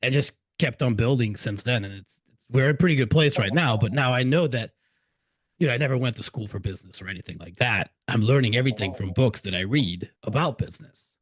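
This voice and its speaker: A man's voice: mid-range voice, lively intonation, brisk, no echo. Chatty Hungarian-raised man, dry sound. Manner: chatty, loose, restarts sentences often. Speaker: podcast host. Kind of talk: casual interview chat.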